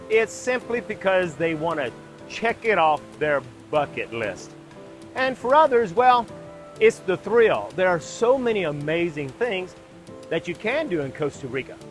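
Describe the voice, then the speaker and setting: A male host with a high voice and very lively intonation presents in a scripted style. He leans on key words and pauses deliberately, over faint music. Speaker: video host.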